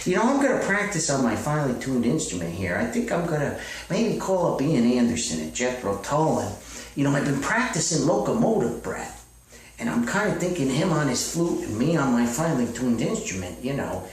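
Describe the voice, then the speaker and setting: A man's voice: medium pitch, very animated, brisk, close to an everyday voice. Man playing a flustered hapless author, very echoey. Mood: bravely hopeful. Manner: stammering, rambling, repeating himself. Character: self-styled world famous author, hapless, excitable, stubbornly positive sad sack. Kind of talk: one-sided comedy phone call skit. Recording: echoey distant old recording, faint noise.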